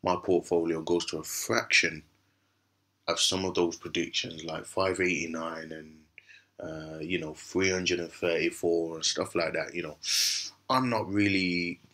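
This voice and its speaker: A man with a very low, raspy voice that sounds hoarse from a cough. His tone is bright and forceful despite the gravel, and he rambles through casual commentary with plenty of fillers and restarts. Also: steady tone, unhurried. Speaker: crypto channel host. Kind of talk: casual commentary over screen recording.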